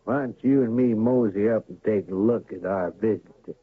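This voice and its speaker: A sly, easygoing frontier townsman in an old radio play, low and a little husky. The sound is thin and boxy, like an old broadcast. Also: normal speed, dry recording, narrow, tinny audio. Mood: genial. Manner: smooth, sly and genial. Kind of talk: 1970s radio drama acting.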